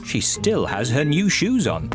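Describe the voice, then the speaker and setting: A man narrating a children's cartoon in a calm, understated way. His pitch swoops widely and stays in the middle range. Loud music plays under his voice. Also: measured pace. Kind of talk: scripted storybook narration.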